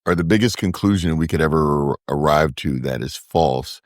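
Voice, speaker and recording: A man's voice: very deep voice, normal speed, dry recording, clean studio sound. A man speaking as a podcast guest, reflective and earnest in casual conversation, with the occasional burst of profanity. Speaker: podcast guest, brewery co-founder.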